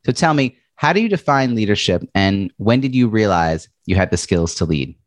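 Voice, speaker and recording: A male podcast host, chatty and expressive, introducing and talking with a guest. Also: lowish voice, moderate pace, no echo, studio quality. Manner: chatty, says he has a loud voice and big presence. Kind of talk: interview podcast.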